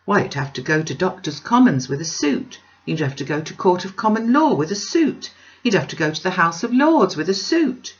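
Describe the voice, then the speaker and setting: A woman's voice: on the low side, big pitch swings, normal speed, lo-fi sound. A woman voicing a pompous, self-satisfied wealthy man, emphatic and dismissive, in a storybook reading. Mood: smug.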